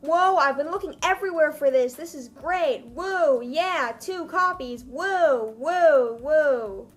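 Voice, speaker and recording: A woman voicing an excitable female cat from a silly love story, exclaiming with over-excitement. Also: sits high, slow pace, faint music behind. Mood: excited.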